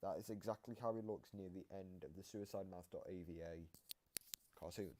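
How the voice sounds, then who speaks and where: A man giving casual reaction commentary, explaining game lore in a halting, repetitive way. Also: sits low, dark tone. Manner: explanatory, halting, repeats himself.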